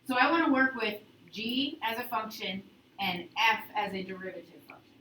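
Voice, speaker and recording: Echoey, bright-voiced woman teaching a class. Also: on the high side, expressive, normal speed. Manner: instructive, checks understanding often with short prompts. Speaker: high school calculus teacher. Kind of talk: classroom teaching with questions to students. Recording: echoey classroom, distant mic, faint noise.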